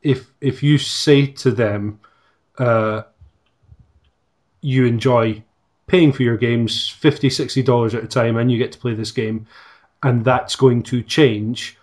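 A man in a podcast conversation, speaking in a measured, thoughtful way. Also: medium pitch, fairly even intonation, darkish tone. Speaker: podcast guest. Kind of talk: reflective conversation.

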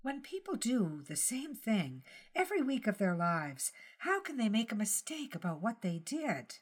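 A woman's voice: a little high, very animated, moderate pace, slightly rough. Woman reading as a small, anxious elderly wife. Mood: anxious, insistent. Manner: hesitant, earnest, explaining. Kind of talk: audiobook character dialogue.

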